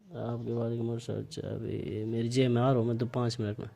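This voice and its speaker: A man's voice: mid-range voice, level tone, fairly quick, gravelly, mellow. A man with a Mewati accent argues reproachfully in a private phone conversation, asking one pointed question after another. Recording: amateur phone call recording.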